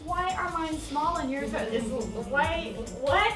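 A woman's voice: sits high, unhurried, echoey room, distant mic, music in the background. A woman guest on a cooking show who is learning to cook, curious and teasing and full of questions. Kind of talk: casual chat. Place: home kitchen.

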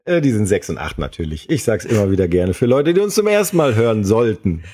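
A man's voice: on the low side, very animated, fast, warm tone. A man on a podcast panel, talking in a casual chat style.

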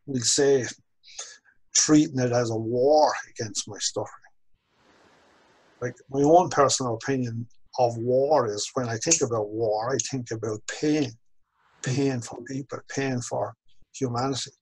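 Gravelly older Irish man, husky and reflective. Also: mid-range voice, steady tone, darkish tone, rough recording. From Ireland. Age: 60s. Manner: measured, reflective, slows down on key phrases. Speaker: stuttering course instructor. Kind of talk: interview guest telling his story. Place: home office with bookshelves, indoors. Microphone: video call webcam.

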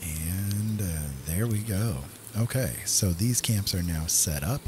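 A man's voice: low-pitched, slow, noisy background. A man giving casual gameplay commentary as the host of a gaming video, talking directly to viewers.

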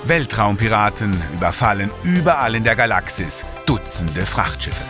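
A man's voice: fairly low pitch, very animated, normal speed, music in the background. A man reading dramatic scripted narration for a sci-fi audio drama.

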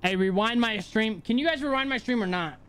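A high-voiced young man livestreaming, talking to chat in loose, crude slang. His tone is relaxed and off the cuff. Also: brisk, clean tone. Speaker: streamer.

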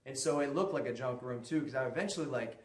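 A man in his forties giving a casual, animated walking tour of his old gym spots, swearing freely as he tells stories. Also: medium pitch, fast talker, husky. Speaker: gym owner and host. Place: outdoors on a street and in a gym. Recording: loud background noise outdoors.

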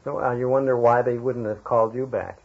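A man with a rough, husky voice of medium pitch conducts a relaxed conversational interview. The sound is dull and lo-fi. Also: a little fast.

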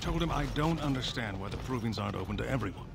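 A man's voice: medium pitch, lively intonation, fast talker, vocal fry, noisy background. An actor voicing a sardonic, rebellious male dwarf noble in a video game, with a dry, self-mocking delivery. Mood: wry.

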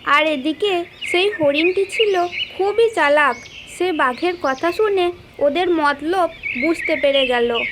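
A woman narrating a scripted children's story as the storyteller. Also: high voice. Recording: clearly noisy, birdsong behind. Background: birdsong and nature sounds.

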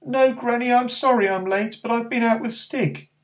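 A man's voice: very high-pitched, moderate pace, pitched above the performer's own voice. A man voicing an earnest young boy in a storybook reading, with a raised, pleading voice and words that tumble out. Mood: eager.

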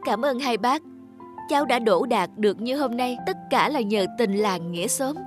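A woman plays a poor young male scholar in a cartoon folk tale. The voice is fairly high, polite and earnest, full of gratitude. Music sits clearly behind it. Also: animated, normal speed, close to an everyday voice. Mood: grateful, sincere. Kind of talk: animated folk tale acting.

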